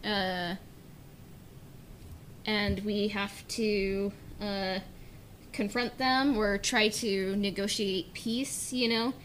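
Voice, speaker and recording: A woman's voice: mid-range voice, steady tone, very slow, pauses often, fairly bright tone. Casual woman podcaster with background noise. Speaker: podcast panelist. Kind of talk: casual fan discussion.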